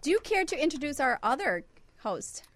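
An upbeat woman hosts a casual talk show about real estate, teasing her co-hosts as she goes. Her voice sits a little high, and it is recorded close and dry in a studio, with no room sound at all. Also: big pitch swings, moderate pace, dry recording. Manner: upbeat, laughs often, teasing. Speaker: host, real estate broker.

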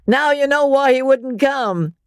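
A performer voicing a rowdy, drunken male party teaser who jeers and shouts boisterously in an audiobook. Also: high voice, unhurried, no room sound, studio quality, pitched above the performer's own voice. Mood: boisterous jeering.

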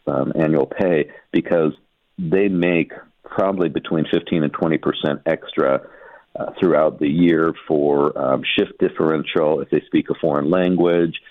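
A man talking through local news as a guest editor on a radio show, explaining things in a measured, halting, conversational way. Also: extremely low pitch, fairly even intonation, dry recording. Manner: explanatory, measured, hesitant phrasing. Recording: muffled narrow phone-like line.

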